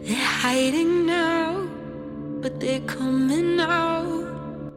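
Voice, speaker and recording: A woman singing slowly in a song. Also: very high voice, over music.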